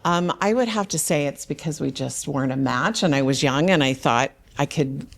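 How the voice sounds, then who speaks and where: Older lady on a dating show, low voice, just chatting candidly. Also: moderate pace, gravelly. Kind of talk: casual, candid chat.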